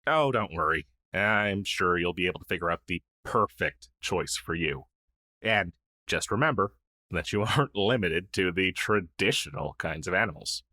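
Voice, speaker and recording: A man's voice: sits low, expressive, moderate pace, bright, forceful tone, no room sound, heavily acted. A man playing a friendly, shy crow familiar in an intimate fantasy audio roleplay, speaking in a hesitant, earnest, conversational way. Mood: gentle, reassuring. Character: friendly, shy crow familiar, a masterless male magical helper. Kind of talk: intimate ASMR roleplay acting.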